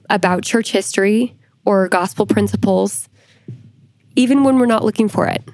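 A woman speaking as a church historian guest on a podcast, explaining things in a measured, deliberate manner. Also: medium pitch, darkish tone, no echo.

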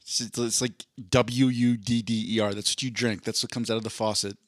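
A man's voice: brisk, no room sound. A man from southeastern Pennsylvania with a slight accent, co-hosting a casual podcast, chatty, with stammered restarts. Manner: chatty, stammering restarts, animated jumps in pitch. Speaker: podcast co-host. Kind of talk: casual chat.

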